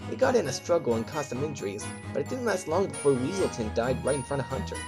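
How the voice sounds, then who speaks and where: A man plays a brash mob witness in an audio drama, cheeky and casual. His mid-pitched delivery is very animated, with music clearly behind. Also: fast talker. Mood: storytelling. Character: cocky, cheeky gangster witness.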